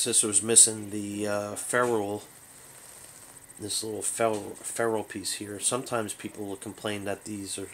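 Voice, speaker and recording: A man hosting a hobby show, giving a casual product overview in a halting, rambling, unscripted way. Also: lowish voice, fairly bright tone, slight background noise, poor audio. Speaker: host of a hobby radio show. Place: small bedroom, indoors.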